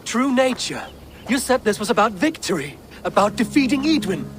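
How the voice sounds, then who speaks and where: A woman's voice: on the high side, very animated, moderate pace, slight background noise. A woman playing a blunt, headstrong Viking warrior in a video game, challenging and sceptical in delivery. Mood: incredulous. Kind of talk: dramatic video game acting. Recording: music behind the voice.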